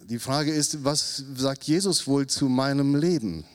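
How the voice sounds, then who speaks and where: A man in his fifties preaching a sermon, animated, acting out quotes with a raised voice. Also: medium pitch, a little slow. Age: 50s. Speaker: pastor.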